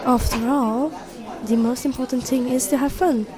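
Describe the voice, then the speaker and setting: A woman's voice: high-pitched, fairly even intonation, normal speed, natural voice. A woman playing a friendly, teasing young best friend with a secret crush in an intimate ASMR roleplay. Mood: friendly, chatty. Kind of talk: intimate ASMR roleplay acting, one side of a conversation.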